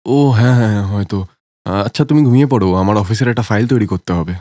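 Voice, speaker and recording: Fast-talking busy businessman husband, acted by a man. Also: lowish voice, warm tone, dry recording, lightly acted. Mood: brisk, preoccupied. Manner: brisk, dismissive, self-justifying. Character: busy, money-minded young businessman husband. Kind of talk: cartoon story character acting.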